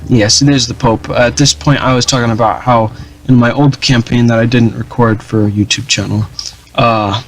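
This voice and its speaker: A young man casually commenting over his strategy game, rambling with many fillers and restarts in a flat, dull-sounding voice. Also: medium pitch, moderate pace, soft background music. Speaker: gaming channel host.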